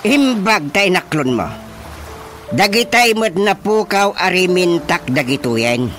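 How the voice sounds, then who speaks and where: A man acting an inquisitive village chairman in a radio drama comedy, with an Ilocano accent and probing, drawn-out questions. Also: sits high, very animated, fairly bright tone, soft background music, full character voice. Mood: accusing. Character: village chairman, man inspecting carpentry tools with growing suspicion.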